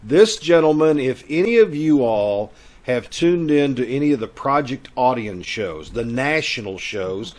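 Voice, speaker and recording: A man with a folksy, chatty way of talking hosts a show on a video call. He speaks at a middle pitch in a casual, welcoming host's style, making announcements. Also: a little mellow. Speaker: host.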